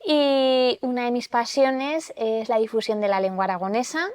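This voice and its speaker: A woman's voice: a little high, fairly even intonation, normal speed, a little mellow. Earnest Spanish woman from Zaragoza. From Zaragoza, Spain. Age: 30s. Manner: earnest, explanatory, frequent "pues" fillers. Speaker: podcast guest. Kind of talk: casual interview chat.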